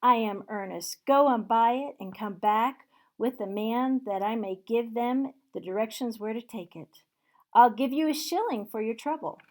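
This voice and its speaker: A woman putting on an excited old Scrooge. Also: medium pitch, measured pace, lightly acted. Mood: overjoyed. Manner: loud, exclaiming, pleading. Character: old man Scrooge, overjoyed and reformed.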